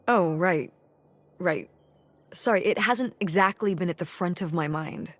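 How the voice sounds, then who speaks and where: A woman's voice: lowish voice, measured pace, fairly bright tone, a little hiss or hum. A woman voicing a tired, wry middle-aged mother in a naturalistic video game. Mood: weary, irritated.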